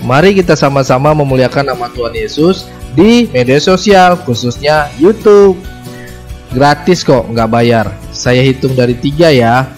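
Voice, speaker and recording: A man's voice: mid-range voice, unhurried. A man asking viewers to subscribe to a church channel in a friendly promotional tone. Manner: friendly promotional reminder, counting down. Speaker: channel announcer. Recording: faint music behind.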